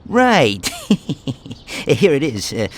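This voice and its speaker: An actor playing a timid, eager-to-please mechanic in a video game, hesitant and nervous. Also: male voice, medium pitch, wildly swooping pitch, drawn-out words, slight background noise, heavily acted. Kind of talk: character acting in game cutscene.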